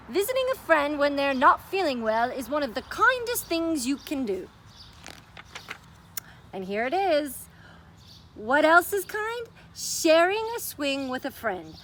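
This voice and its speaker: A woman's voice: sits high, sing-song, measured pace, fairly bright tone, slight background noise. A woman presenting a children's story time, reading aloud and giving simple, encouraging explanations. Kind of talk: children's storytime read-aloud and craft instruction.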